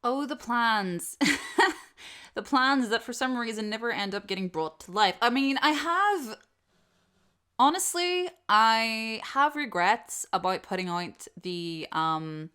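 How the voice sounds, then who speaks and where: A woman's voice: fairly high pitch, expressive, slow, sharp tone, smooth. A woman chatting casually and expressively in a self-deprecating way, like an online creator answering questions from followers. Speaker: audio roleplay creator and host. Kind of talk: casual subscriber Q&A, chatty talk.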